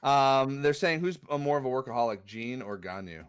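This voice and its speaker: A man talks fast in a laid-back, slangy manner. He hosts a casual chat and reads out questions from viewers. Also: medium pitch, expressive. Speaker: host reading viewer questions.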